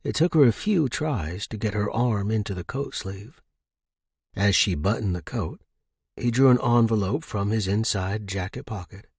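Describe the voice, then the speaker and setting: A man's voice: on the low side, normal speed, darkish tone, breathy edge, no room sound, studio quality. A man hosting a story podcast and reading scripted narration. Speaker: podcast host and story reader.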